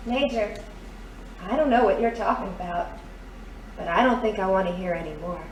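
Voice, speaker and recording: An actress playing a brassy, guarded young American dame in a noir radio drama, slangy and dramatic. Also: female voice, medium pitch, normal speed, close to an everyday voice. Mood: guarded, wry. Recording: roomy, distant, faint background noise.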